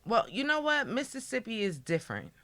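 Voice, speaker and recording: A woman from the New York area, a podcast guest with a raspy voice, chatting in an animated, playful way. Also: on the high side, brisk, no echo. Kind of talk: casual chat.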